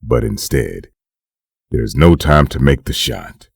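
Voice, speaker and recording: A man performs a grave storyteller for a dark comic dub. The voice is extremely low and crackles with fry, recorded close and dry, with a measured dramatic delivery. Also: lively intonation, no echo, exaggerated voice. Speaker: narrator. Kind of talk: scripted dramatic comic narration.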